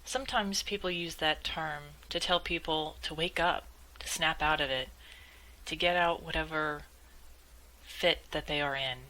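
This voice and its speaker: A woman leading a guided meditation in a measured, gently instructive way, as calm spoken instruction. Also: sits low, poor audio.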